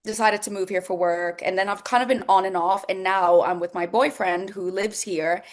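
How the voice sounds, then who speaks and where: A woman's voice: mid-range voice, fairly even intonation, normal speed. A chatty young woman from Finland, a native Swedish speaker, talking as a guest singer in a casual interview over a remote call. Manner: chatty, laughs easily.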